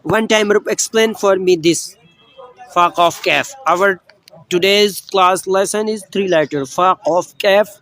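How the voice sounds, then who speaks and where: A man whose first language is Urdu, teaching Quran pronunciation online in halting English full of fillers and restarts. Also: high-pitched, fairly bright tone, low-quality recording. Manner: halting non-native English with many fillers. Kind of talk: online tajweed lesson.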